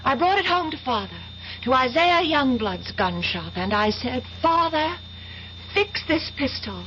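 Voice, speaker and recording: An actress playing a vengeful, unhinged young woman in a 1970s radio drama, slow and taunting in her menace. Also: high-pitched, sing-song, a bit bright, heavily acted. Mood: eerie, gloating. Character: vengeful, unhinged young woman, eerily calm then frantic. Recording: dull, noisy 1970s radio recording.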